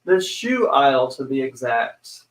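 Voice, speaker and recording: A man with a squeaky voice co-hosting a live stream, joking around and exclaiming playfully. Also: big pitch swings, reverberant, low-quality recording. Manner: playful, jokey, quick to exclaim. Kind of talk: casual live-stream chat.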